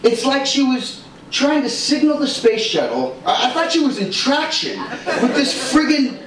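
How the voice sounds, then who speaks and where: Animated male stand-up in an echoey club. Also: very high-pitched, moderate pace, a crowd in the background. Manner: punchy, exaggerated character voices. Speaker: stand-up comedian. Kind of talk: stand-up comedy set. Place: comedy club stage with laughing audience. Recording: echoey club audio from far off, loud room noise.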